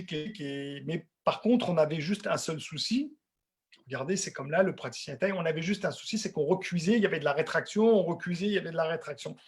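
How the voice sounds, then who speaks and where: A middle-aged man presents an informal technical webinar in a fairly high, husky voice. He speaks conversationally, as a working technician explaining his craft, and repeats words to drive points home. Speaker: dental technician giving a webinar.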